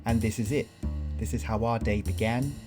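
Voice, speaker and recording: A man narrating a documentary in a measured, scripted way. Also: fairly low pitch, steady tone, music in the background. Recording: faint background noise.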